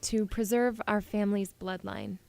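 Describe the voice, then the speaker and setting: A woman's voice: mid-range voice, steady tone, moderate pace, creaky, a little mellow, lightly acted. A woman playing a headstrong, spirited young princess in a fantasy audio drama, earnest and pleading with a defiant streak. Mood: apologetic, pleading.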